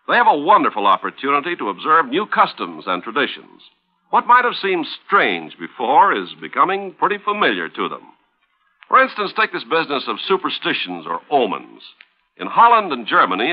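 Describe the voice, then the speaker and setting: A man reading scripted narration as a 1950s radio announcer. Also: mid-range voice. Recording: muffled old radio recording.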